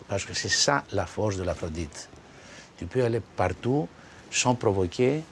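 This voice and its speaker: A man, answering interview questions in a relaxed, expansive way, with small slips. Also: lowish voice, measured pace, a little hiss or hum. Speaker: interviewee, businessman.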